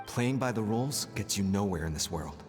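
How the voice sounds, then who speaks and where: A low male voice with sweeping, theatrical inflection belongs to a scheming game chieftain, acted by a man. He talks down to everyone and justifies himself with smug, cold assurance. Long reverb trails his words over music. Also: animated, brisk, lightly acted. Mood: defensive, cold. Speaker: voice actor in a video game. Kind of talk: dramatic game dialogue.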